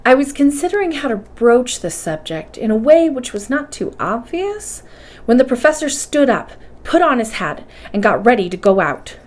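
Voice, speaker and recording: A woman reading a bedtime story aloud with expressive, carefully enunciated narration. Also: on the high side, moderate pace. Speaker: storybook reader.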